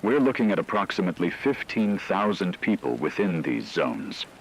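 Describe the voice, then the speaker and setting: Man acting as a radio drama news anchor, low voice, grave, formal, measured, factual, slight background noise. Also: sing-song, vocal fry, heavily acted, poor audio. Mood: grave, controlled. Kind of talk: scripted breaking radio news report.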